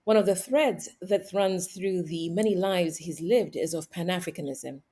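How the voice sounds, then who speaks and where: A woman hosts an interview, with a slightly low and mellow voice. She introduces her guest and asks deliberate, carefully paced questions. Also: husky. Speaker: podcast host.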